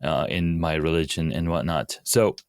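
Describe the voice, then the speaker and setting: A man from Pakistan with a very low, smooth, resonant voice, speaking in a measured, earnest way as a podcast guest. The sound is dry and close, with little room in it. He talks in short phrases that restart now and then. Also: fairly even intonation, no room sound. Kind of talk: casual interview answers.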